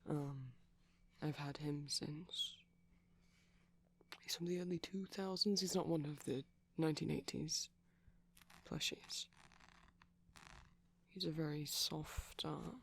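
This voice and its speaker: Give a hushed, creaky male voice for a shy, gentle vampire boyfriend played by a man. He rambles tenderly and hesitantly in ASMR style, often dropping to a whisper. The tone is breathy and a little husky. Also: on the high side, fairly even intonation, slow pace, pauses often. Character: shy, gentle pastel vampire boyfriend showing off plush toys. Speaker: ASMR roleplay performer.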